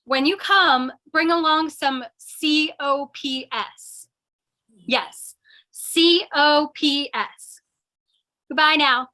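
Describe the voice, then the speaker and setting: A woman's voice: high-pitched, drawn-out words, bright, forceful tone, heavily acted. A woman playing a plucky, mock-dignified lady gang boss in an old-time radio play, theatrical and coy. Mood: coy, sly.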